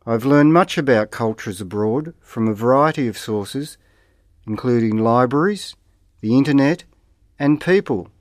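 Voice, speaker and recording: A man reading scripted model interview answers aloud in a slow, carefully enunciated way, like a language course recording. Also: mid-range voice, expressive, a little mellow, dry recording, studio quality.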